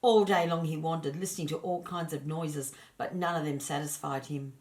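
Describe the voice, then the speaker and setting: Female storyteller for children's narration, low register, expressive and deliberate scripted read.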